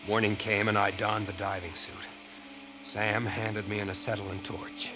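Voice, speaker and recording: An actor playing an earnest treasure-hunting diver who tells his eerie adventure with dramatic, deliberate 1940s radio suspense delivery. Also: on the low side, little pitch movement, noisy background, natural voice. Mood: grave, suspenseful. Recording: old radio broadcast with loud music.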